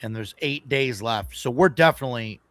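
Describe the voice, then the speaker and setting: A man in a relaxed podcast conversation, recorded dry and close with no room sound. He nods along with lots of "yeah" and fillers, and his voice sounds a little worn. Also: mid-range voice, fairly quick, dry recording. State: voice still recovering, slightly worn. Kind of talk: casual podcast chat.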